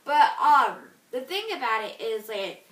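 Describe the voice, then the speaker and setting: A woman's voice: on the high side, measured pace, a bit bright. A teenage girl answering interview questions casually, with lots of fillers, trailing off at the ends of her thoughts. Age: teens. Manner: chatty, filler-heavy, trailing off.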